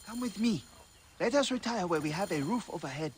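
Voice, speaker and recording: An actor playing a guarded, fearful merchant brewer who speaks like a grave storyteller in a dramatic game cutscene. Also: male voice, high-pitched, expressive, brisk, slight background noise. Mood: grave, weary.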